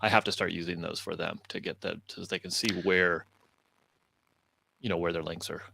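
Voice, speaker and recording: Low-voiced man hosting casually. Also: fairly even intonation, moderate pace, vocal fry, pushed and bright, slightly rough. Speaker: host, moderator. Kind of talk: casual chat, interviewing.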